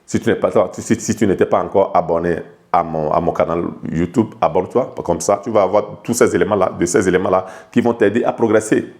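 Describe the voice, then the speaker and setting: A man's voice: sits low, fairly quick, hardly any pauses, a little mellow. A man from Togo, a life coach, explaining ideas to the viewer in an informal video lesson, talking familiarly and stumbling over repeated words and restarts. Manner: addresses the viewer directly and familiarly.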